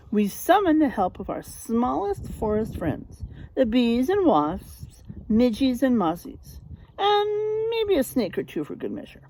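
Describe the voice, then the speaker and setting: A woman voicing a wise old storybook owl who deliberately sets out a cunning plan step by step. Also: on the high side, dark tone, heavily acted, low-quality recording. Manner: deliberate, instructive, counting off steps.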